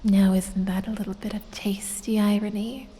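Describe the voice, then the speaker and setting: A woman voicing an evil, seductive lamia toying with her prey in a breathy, sultry, gloating ASMR roleplay whisper. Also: mid-range voice, little pitch movement, mellow, lightly acted. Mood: teasing threat. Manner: slow, sultry, drawn-out, gloating purr. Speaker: audio roleplay performer. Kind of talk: ASMR roleplay, addressing the listener. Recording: long cave-like reverb, faint background noise.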